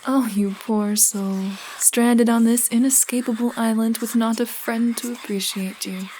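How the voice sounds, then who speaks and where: Eerie seductress for horror audio story, played by a woman: slow, whispery, possessive, theatrical; noticeable noise behind the voice. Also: fairly high pitch, fairly even intonation, dark tone, lightly acted. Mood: seductive, mocking tenderness. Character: seductive, eerie pale island woman creature, possessive lover. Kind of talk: creepy story voice acting. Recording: clearly noisy background.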